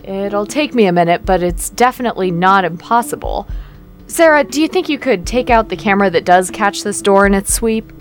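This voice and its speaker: A woman's voice: mid-range voice, animated, fairly quick, faint music behind, close to an everyday voice. A woman playing a capable break-in specialist in an audio drama, casual and practical with a teasing ease. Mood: practical, focused.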